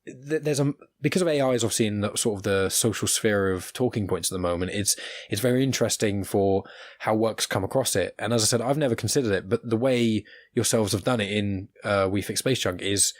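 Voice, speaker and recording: A man's voice: on the low side, brisk, no room sound. A man hosting a podcast, chatting casually in an enthusiastic, rambling way. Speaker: podcast host. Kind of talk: casual interview chat.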